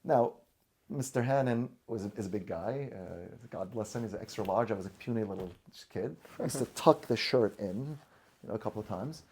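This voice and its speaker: A man's voice: lowish voice, lively intonation, fairly quick, a little mellow. A man from Syria whose first language is Arabic, a podcast guest telling personal stories earnestly, often restarting and repeating words. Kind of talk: personal storytelling in conversation.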